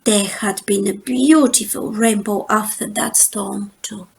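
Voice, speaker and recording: A woman reading a children's story aloud as narrator, in an expressive, unhurried storytelling manner. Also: mid-range voice, gravelly. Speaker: storybook reader.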